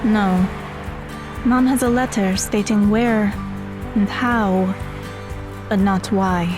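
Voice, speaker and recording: A woman's voice: medium pitch, fairly even intonation, takes it slowly, lightly acted. An actress playing a guarded, serious, bitter teenage girl in an audio drama. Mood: brooding. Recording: music behind the voice.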